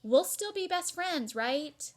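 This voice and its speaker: A woman's voice: high-pitched, expressive, takes it slowly, pitched above the performer's own voice. A woman voicing a plain-spoken, earnest young girl from a children's storybook. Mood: blunt, earnest.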